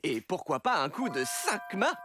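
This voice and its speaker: Animated, high-voiced cartoon schoolmaster. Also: male voice, moderate pace, loud music behind the voice. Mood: cheerful, thoughtful. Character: kindly male inventor schoolmaster of child superheroes. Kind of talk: French cartoon dub.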